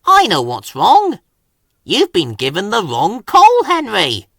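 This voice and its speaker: A man acts out an eager young male tank engine from a children's storybook, pitched up and swinging widely. He is bossy and full of his own importance, stretching his words for emphasis. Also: wildly swooping pitch, slow, a bit bright, dry recording, clean studio sound, heavily acted. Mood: bossy, proud.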